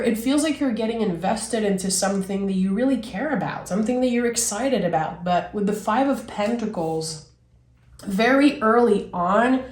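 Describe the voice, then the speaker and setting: A woman with a raspy, hoarse voice talks through a tarot spread at an unhurried pace. Her delivery is gentle and reflective, and the sound rings around an echoey room. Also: mid-range voice. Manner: slow, soothing, reflective delivery. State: recovering from illness, hoarse. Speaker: tarot reader. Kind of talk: spoken tarot reading.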